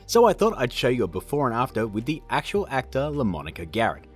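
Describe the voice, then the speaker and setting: A man's voice: medium pitch, huge pitch swings, quick pace, breathy edge. A man, hosting a casual tutorial in a chatty, self-deprecating way. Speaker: host of tutorial videos. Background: background music.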